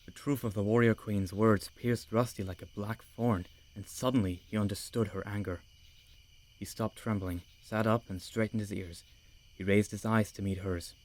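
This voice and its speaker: Male narrator for an animal adventure audiobook, fairly low pitch, scripted storytelling read. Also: fairly even intonation, moderate pace, a little mellow, poor audio.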